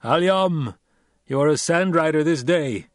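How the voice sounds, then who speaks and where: A man's voice: fairly high pitch, animated, unhurried, dry recording, clean studio sound, voice pushed up. A man reading as a harsh, commanding desert leader. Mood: approving. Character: stern male desert troop leader, middle-aged, blunt. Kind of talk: audiobook character reading.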